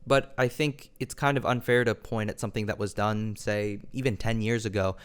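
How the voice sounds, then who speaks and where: A man giving an opinion monologue as an online video commentator, measured and hedging his points with frequent personal qualifiers. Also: lowish voice, steady tone, clean tone.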